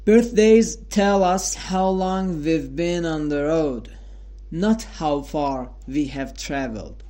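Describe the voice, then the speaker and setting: A young man in his twenties talks on his own about a favourite quote. He speaks very slowly, with a slightly high voice, and stops often to search for words. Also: dark tone. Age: 20s. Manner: slow and halting, many uh fillers and restarts. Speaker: host of a quote podcast. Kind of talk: casual solo talk. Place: sitting at home in front of a mirror.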